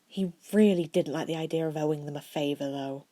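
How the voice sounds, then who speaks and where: A woman with a slightly low voice narrates a story from a script. She reads expressively, in the manner of an amateur audiobook reader. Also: brisk, mellow, no echo. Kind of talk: scripted narration of fan fiction.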